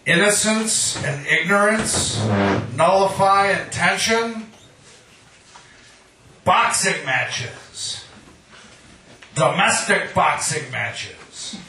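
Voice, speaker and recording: A man's voice: high-pitched, lively intonation, very slow, pauses often, far from the mic, background noise. A man giving a live poetry reading, as a poet and host of the night. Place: live reading venue, indoors. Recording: echoey room, picked up from afar.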